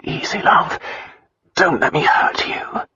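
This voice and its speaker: An intense, tender male lover in a romance audiobook, acted out by the narrator. The voice is urgent and intimate, sharp-edged and often whispered, on a slightly dull recording. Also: medium pitch, measured pace, no echo, exaggerated voice. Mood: tender, breathless. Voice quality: breathy, whispered. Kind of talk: romance audiobook character dialogue.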